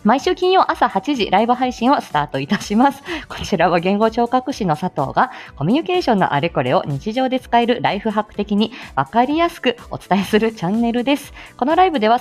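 Casting: female livestream host, bubbly and giggly, very lively intonation, casual chat over faint music. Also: fairly high pitch. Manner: bubbly, giggly, self-deprecating. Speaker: host of a live morning audio stream; speech-language therapist. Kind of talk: casual chatty livestream, greeting listeners.

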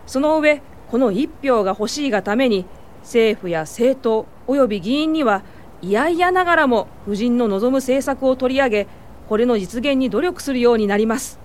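An actress playing a dignified, encouraging women's suffrage leader in a Japanese radio drama, with formal, assured delivery. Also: female voice, a little high, a little hiss or hum, natural voice. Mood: persuasive.

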